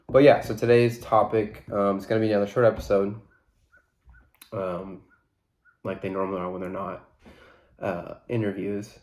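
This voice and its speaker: A man with a stuffy, congested nose hosts a solo podcast, rambling casually and easily with plenty of fillers. His voice sits on the low side, and it rings a little in an echoey room. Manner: relaxed, rambling, many fillers. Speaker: podcast host.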